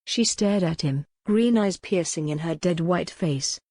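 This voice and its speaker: A woman's voice: lowish voice, measured pace, warm tone, no echo. A woman reading a historical romance novel aloud as a dramatic audiobook narrator.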